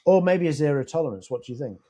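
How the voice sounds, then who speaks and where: A man's voice: on the high side, lively intonation, racing, dark tone, a little hiss or hum. A British man co-hosting a business podcast, talking plainly and swearing as he lays out scenarios at length. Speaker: podcast co-host. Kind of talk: casual business chat. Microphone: podcast mic.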